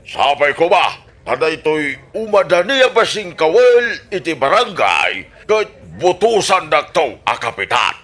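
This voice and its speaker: An actor playing a scheming, blustering village candidate in an Ilocano radio comedy drama, with an Ilocano Filipino accent and a theatrical, drawn-out delivery. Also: male voice, fairly high pitch, big pitch swings, a bit bright, heavily acted. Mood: grandly persuasive. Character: scheming, blustering male village election candidate, bitter after losing.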